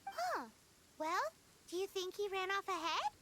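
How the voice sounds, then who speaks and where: A woman voicing a tiny, excitable floating fairy companion who chatters and exclaims in a video game. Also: very high-pitched, measured pace, a little hiss or hum, exaggerated voice. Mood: curious, surprised. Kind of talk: video game character voice acting.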